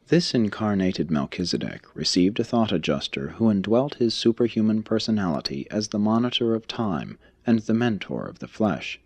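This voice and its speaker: A man reading a religious text aloud as scripted narration, in a formal, measured, expository manner. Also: lowish voice, sing-song, darkish tone, slightly rough, dry recording. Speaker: audiobook reader.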